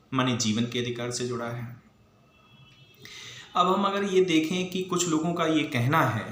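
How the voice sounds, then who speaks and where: An echoey room with faint noise carries the bright, forceful voice of an Indian man. He lectures like an exam teacher, stressing points firmly and explaining step by step with rhetorical questions. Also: medium pitch. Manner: emphatic, didactic, mixing in English legal terms. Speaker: teacher for civil service exam students.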